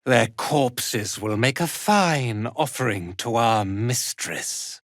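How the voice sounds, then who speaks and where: A haughty fantasy cult commander, wildly swinging delivery. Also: male voice, medium pitch, lively intonation, slow, a bit bright, no room sound, clean studio sound. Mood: cruel, imperious. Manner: haughty, contemptuous, theatrical delivery. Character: arrogant, cruel, fanatical male cult commander in dark fantasy. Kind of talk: dramatic fantasy video game acting.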